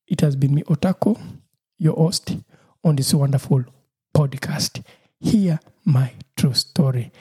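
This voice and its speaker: Cheerful Ugandan man with a husky voice. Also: fairly high pitch, a little slow, a little mellow. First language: Lusoga. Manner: cheerful, laughs often, repeats himself. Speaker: podcast host. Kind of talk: solo podcast monologue.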